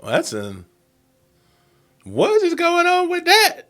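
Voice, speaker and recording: A man's voice: extremely high pitch, wildly swooping pitch, takes it slowly, pushed and bright. A man giving casual, slangy reaction commentary as the host of the video. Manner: colloquial, slangy.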